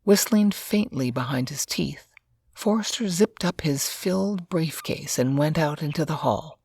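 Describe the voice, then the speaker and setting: Husky, creaky woman narrating a story. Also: low-pitched, measured pace, darkish tone, dry recording.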